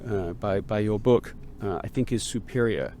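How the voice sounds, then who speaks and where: A man hosting a podcast interview, talking in a halting way with many repeated starts as he reflects and builds ideas aloud. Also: on the low side, warm tone, a little hiss or hum. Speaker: podcast host. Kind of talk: conversational interview.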